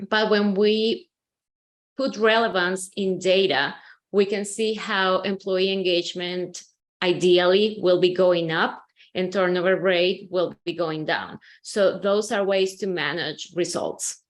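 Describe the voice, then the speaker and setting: A woman from Colombia, a company CEO, explaining her consulting approach in a webinar with steady, measured speech and frequent "um"s. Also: fairly low pitch. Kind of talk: webinar presentation.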